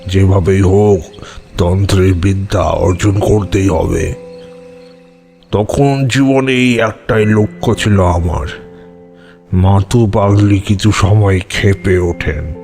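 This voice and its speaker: A man playing an old tantric who recounts eerie memories in a deliberate, measured way for an audio horror drama. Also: sits low, steady tone, creaky, darkish tone, faint music behind, exaggerated voice. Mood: measured, reminiscent.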